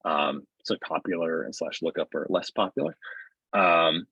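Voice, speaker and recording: Low-pitched husky man, halting delivery. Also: bright, forceful tone, dry recording. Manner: halting, conversational, often checks with "right?". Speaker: software developer presenting his tool. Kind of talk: casual webinar demo. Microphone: earbuds on a video call. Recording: dull video-call audio.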